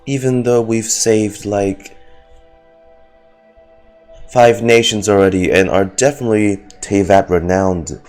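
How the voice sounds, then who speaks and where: A man with a very low voice gives casual game commentary at a slow pace. He is sarcastic and sweary, with faint game music behind him. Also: a little mellow. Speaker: streamer.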